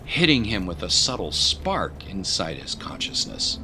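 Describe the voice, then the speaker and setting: A man's voice: low-pitched, very animated, unhurried, noisy background. A man giving grave, literary, scripted narration for a dramatic story. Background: music bed.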